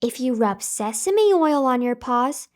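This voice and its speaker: A small, wary, clever child from a storybook, voiced by a woman reader. The voice is very high and earnest, and the words come slowly. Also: lively intonation. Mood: clever, teasing. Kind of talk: bedtime folktale storybook reading.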